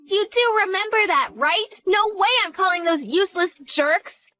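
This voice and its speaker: A woman's voice: very high-pitched, moderate pace, sharp tone, no room sound, faint music behind. A woman voicing a devoted college-age daughter who talks earnestly and grows panicked in a dramatized story. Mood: bitter.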